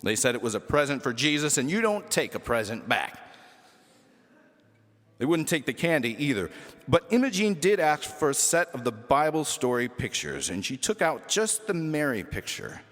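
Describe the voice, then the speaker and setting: A man reading a humorous children's story aloud expressively, with dramatic pauses and emphasis. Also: mid-range voice, sing-song, a bit bright, long reverb tail.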